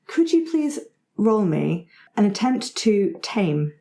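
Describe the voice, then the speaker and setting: A woman's voice: mid-range voice, very animated, slow, mellow, a little hiss or hum. A woman running a tabletop roleplaying game, improvising narration and rulings in an encouraging way. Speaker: game master. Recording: roomy, echoey sound.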